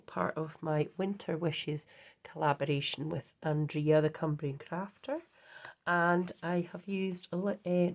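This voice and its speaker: Hoarse, gravelly woman. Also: lowish voice, darkish tone. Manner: chatty, rambling, with many ums and restarts. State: hoarse from a cold. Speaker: craft channel host. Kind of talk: casual show-and-tell craft vlog.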